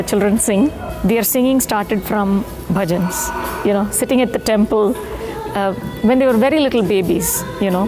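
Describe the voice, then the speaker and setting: A woman's voice: medium pitch, rough recording. A middle-aged woman, a singer's mother, speaking reflectively with small hesitations in a documentary interview. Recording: music bed under the voice.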